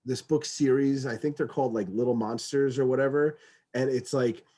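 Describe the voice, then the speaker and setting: A man's voice: medium pitch, fairly even intonation, moderate pace, dark tone. A middle-aged man co-hosting a comedic podcast, casual and sweary, repeating words as he feels his way through sentences. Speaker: podcast co-host.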